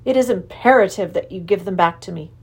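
A woman voicing a kindly, calm professor who talks in a gentle, measured, earnest way. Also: mid-range voice, breathy edge. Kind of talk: storybook reading.

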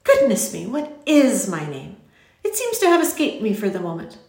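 A woman voicing a whimsical, courteous little gentleman in a storybook, with genteel, exclamatory, formal phrasing. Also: medium pitch, huge pitch swings, normal speed, echoey room. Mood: whimsical, genial. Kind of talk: audiobook character voice.

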